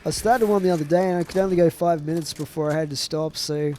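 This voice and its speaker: A man's voice: a little high, fast talker, warm tone, a little hiss or hum. Stuffy-voiced man narrating gameplay. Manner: chatty, trailing off with fillers. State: stuffed up from a chest infection. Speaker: gaming commentator. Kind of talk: casual gameplay commentary.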